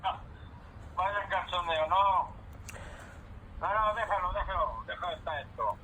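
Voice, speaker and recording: Man, very sharp bright voice, slightly high, puzzled, reluctant, repetitive, informal phone call, faint noise. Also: lively intonation, unhurried. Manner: puzzled, reluctant, repeating himself. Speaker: prank call recipient. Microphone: telephone.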